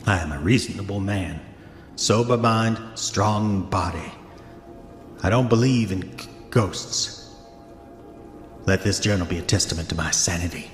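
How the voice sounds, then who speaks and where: A man's voice: deep voice, a little slow, long pauses. An actor playing a proud, paranoid man guarding his gold in a haunted house, with formal, declamatory delivery, for a horror audio drama. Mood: grave, defensive. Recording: echoey, long reverb, music bed.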